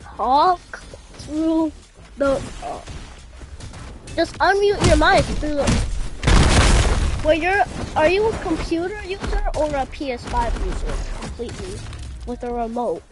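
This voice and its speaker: A woman's voice: high-pitched, lively intonation, a little slow, long pauses. A young woman live-streaming, chatting casually with other players in a slangy way and repeating phrases insistently, with music behind her.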